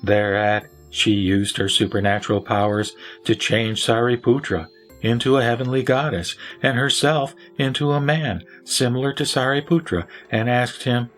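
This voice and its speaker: A man narrating a scripted sutra audio drama in a formal, measured way. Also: mid-range voice, steady tone, fairly bright tone. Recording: background music under the voice.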